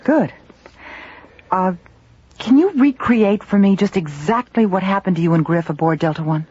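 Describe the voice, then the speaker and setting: A woman playing a brisk, commanding research director in a radio drama, decisive and probing. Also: mid-range voice, animated, husky, no echo. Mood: concerned, probing. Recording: dull radio recording, loud music behind.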